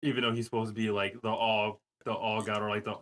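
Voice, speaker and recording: A man's voice: lowish voice, little pitch movement, fast talker, fairly bright tone. A man co-hosting a podcast who mostly gives short, agreeing replies and finishes the other host's thoughts. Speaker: podcast co-host. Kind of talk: casual chat.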